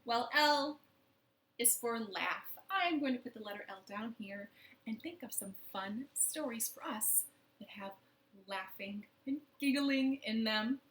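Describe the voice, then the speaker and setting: A woman's voice: on the high side, measured pace, fairly bright tone, reverberant, slight background noise, rough recording. A woman leading a children's storytime from her living room, playful and sing-song with rhymes and silly voices.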